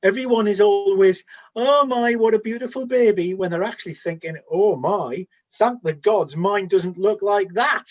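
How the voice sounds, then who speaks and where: An actor playing a bored, vain Trojan soldier who grumbles wistfully in a comic radio play. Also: male voice, sits high, moderate pace, few pauses, dull audio. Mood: wounded pride. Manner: grumbling, wistful, droll bantering. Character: bored, vain, plain-looking male Trojan soldier on night watch.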